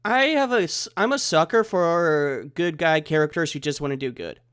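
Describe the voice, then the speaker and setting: Congested man with a higher voice. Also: expressive, moderate pace, a bit bright, clear, resonant tone. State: congested from a head cold. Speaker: podcast host. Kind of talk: casual podcast chat. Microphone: remote recording over the internet.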